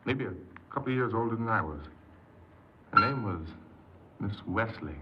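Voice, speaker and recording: Actor as a deep-voiced, halting ex-convict, old noisy radio. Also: male voice, lively intonation, close to an everyday voice. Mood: subdued, reflective. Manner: hesitant, halting, repeats words. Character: earnest, insecure paroled ex-convict confessing his story. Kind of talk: 1950s radio drama acting. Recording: noisy muffled 1950s radio recording.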